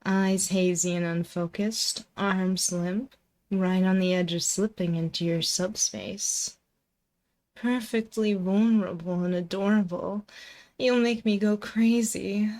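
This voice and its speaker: A possessive female demon, played by a woman, speaks slowly and intimately, right up at a binaural mic. Her medium-pitched voice teases and coaxes in a condescending, controlling way, in the manner of an ASMR girlfriend roleplay. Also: steady tone, darkish tone, natural voice. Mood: smug, hungry. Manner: slow, coaxing, condescending pet-name teasing. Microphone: close binaural microphone.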